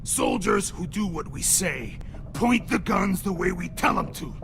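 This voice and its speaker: An actor playing a wounded middle-aged raider held captive in a video game, with a gravelly voice and a taunting, profane, menacing manner. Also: male voice, high-pitched, expressive, a little slow, over music, full character voice. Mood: grim, defiant.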